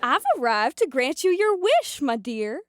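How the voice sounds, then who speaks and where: A woman's voice: high voice, very animated, a little slow, a faint crowd. A woman playing a teasing, grand guardian angel who coaxes like a seller in a comedic audio drama. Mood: playful, teasing. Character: playful, teasing fake guardian angel peddling a drink.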